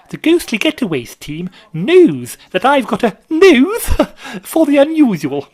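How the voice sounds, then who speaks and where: A man putting on a high, excitable falsetto for a chattering friend in a spoken story. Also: full character voice.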